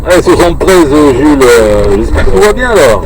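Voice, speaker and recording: A man chatting casually on the radio, halting and repetitive, with frequent filler words. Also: fairly high pitch, sing-song, crowd noise, poor audio. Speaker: amateur radio operator chatting while driving. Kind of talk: casual radio contact chat. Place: inside a moving car. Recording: loud car and road noise throughout.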